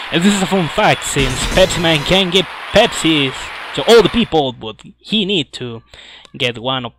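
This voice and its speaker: Male game commentator over loud music. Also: mid-range voice, poor audio. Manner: halting, non-native English phrasing. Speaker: speedrunner commentating on own game run. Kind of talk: live gameplay commentary. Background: loud video game music.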